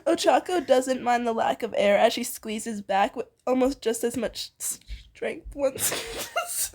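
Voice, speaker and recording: A woman's voice: fairly high pitch, big pitch swings, slow, darkish tone. A young woman reading fan fiction aloud casually, giggly and often breaking off into banter. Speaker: amateur fan fiction reader and co-host.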